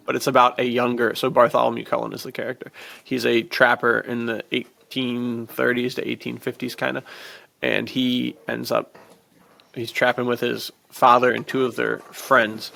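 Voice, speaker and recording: A man with a heavily gravelly, fry-laden voice at an ordinary male pitch. He talks like an enthusiastic podcast co-host, informal and emphatic, in loose casual phrases. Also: monotone, moderate pace, bright, forceful tone. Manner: emphatic, informal, peppered with "dude" and "like". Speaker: podcast co-host and fiction author.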